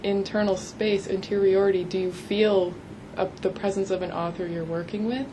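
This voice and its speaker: A woman's voice: medium pitch, fairly even intonation, normal speed. A woman interviewer posing questions, searching for words and rephrasing as she goes. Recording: clear background noise.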